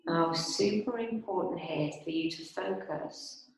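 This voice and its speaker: A woman instructor guiding restorative yoga and meditation in a calm, soothing, unhurried manner with long pauses. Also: lowish voice. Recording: very echoey, reverberant room.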